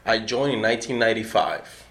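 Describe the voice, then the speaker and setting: Man, middle-aged, from Puerto Rico, army veteran, low voice, measured, pausing with um and uh. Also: lively intonation, vocal fry, a bit bright, poor audio. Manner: measured, many pauses with um and uh. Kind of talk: oral history interview.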